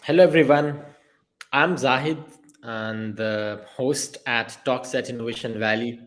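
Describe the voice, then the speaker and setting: A man hosting a podcast interview, asking his questions in a halting, formal way. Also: medium pitch. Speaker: podcast host.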